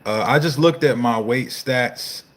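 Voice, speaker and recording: A man's voice: mid-range voice, unhurried, a bit bright. A man in his thirties, a fitness coach, hosting a casual live Q&A, reading viewer comments and answering in a loose, slangy, profane way. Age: 30s.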